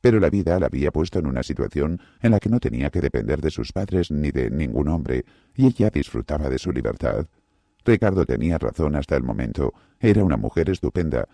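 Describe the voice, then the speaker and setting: A man narrating a novel as an audiobook, with an expressive delivery. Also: no echo, studio quality. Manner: very deep, gravelly and expressive. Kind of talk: scripted narration.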